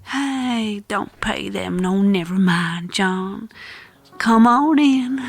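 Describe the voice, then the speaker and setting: Breathy, drawling, flirtatious Southern housewife, played by a woman. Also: mid-range voice, extremely slow, full character voice. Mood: grateful, hospitable. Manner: coy, drawling, folksy. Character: flirtatious rural Southern wife and mother. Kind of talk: comedic western audio drama.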